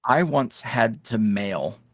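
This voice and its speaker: A man talking candidly as an interview guest about his work as an audio creator, with quick self-deprecating asides. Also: medium pitch, a bit bright, no echo, clean studio sound. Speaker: guest, ASMR roleplay creator. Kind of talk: casual interview chat.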